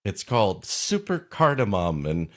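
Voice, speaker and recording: Male comic co-host: low, animated voice, quick to laugh and curse. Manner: joking, laughs often, swears casually. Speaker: podcast co-host. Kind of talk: casual comedic banter.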